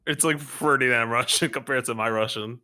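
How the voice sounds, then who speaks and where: Male co-host, bright voice, mid pitch, chatty explaining. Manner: quick, laughing, explaining. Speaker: podcast co-host and knifemaker. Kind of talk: casual interview chat.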